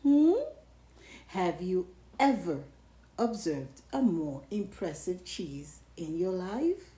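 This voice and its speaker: A woman's voice: fairly low pitch, sing-song, slow, warm tone. A woman voicing a pompous, showy big cheese in a theatrical picture-book read-aloud. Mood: smug, showy. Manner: pompous, theatrical, self-admiring. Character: boastful, showy big cheese craving the spotlight. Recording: roomy, echoing sound.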